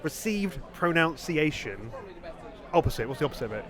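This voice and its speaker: A man's voice: on the high side, sing-song, a little fast, a bit bright, a faint crowd. A man hosting a podcast in rambling, bantering casual chat. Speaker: podcast host.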